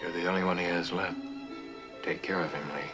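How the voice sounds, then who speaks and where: A man's voice: low-pitched, fairly even intonation, brisk, over music, natural voice. An actor playing a loyal, steadfast man in a vintage television drama, speaking earnestly and insistently to an old friend. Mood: concerned.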